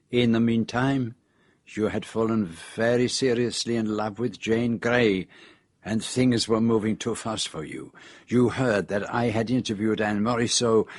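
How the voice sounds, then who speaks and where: An actor playing a shrewd, courteous foreign detective in a radio drama, with a Belgian French accent and a precise, measured, self-assured way of explaining. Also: male voice, fairly low pitch, dry recording, natural voice. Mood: accusing, grave.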